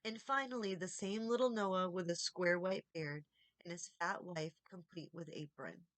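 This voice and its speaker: A woman reading a children's story aloud as expressive audiobook narration. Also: on the low side, normal speed, dry recording. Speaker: audiobook reader.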